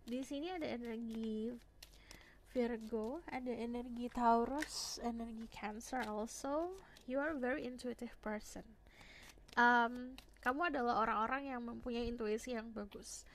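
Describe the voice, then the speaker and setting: A young woman with a hoarse voice, chatting casually as she gives a tarot reading to her viewers. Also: fairly high pitch, measured pace, a little hiss or hum, rough recording. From Indonesia. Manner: chatty, switches between Indonesian and English phrases. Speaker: tarot reader.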